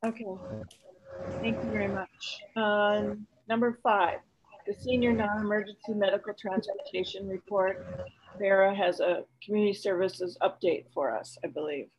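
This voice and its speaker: A woman chairing a virtual council meeting, reading agenda items in a brisk, procedural way over a video call. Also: mid-range voice, fairly even intonation, breathy edge, dull audio. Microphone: computer mic on a video call.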